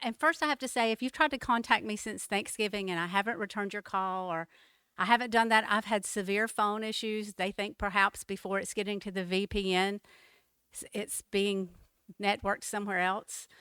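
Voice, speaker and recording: A woman's voice: mid-range voice, steady tone, normal speed, bright, forceful tone, clean tone, no echo. A middle-aged woman, founder of a group, giving an earnest, chatty talk at a meeting. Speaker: guest speaker and group founder.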